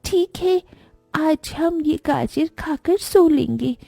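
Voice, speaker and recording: A woman's voice: high-pitched, slow, gravelly, dark tone, no room sound, faint music behind, exaggerated voice. A woman voicing a poor, tender, careworn village mother in a children's cartoon story, earnest and emotive.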